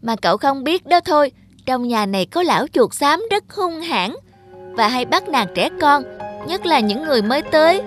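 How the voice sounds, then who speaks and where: A woman's voice: high voice, animated, normal speed, faint music behind. A woman voicing a cautious, loyal young mug in a cartoon, earnest and childlike. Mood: cautious warning.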